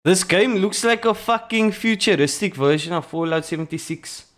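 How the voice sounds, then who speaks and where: High-voiced South African streamer, swearing. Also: male voice, moderate pace, fairly bright tone. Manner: profane, loose, keeps saying bro. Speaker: streamer reacting to a video. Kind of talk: casual chat to stream viewers.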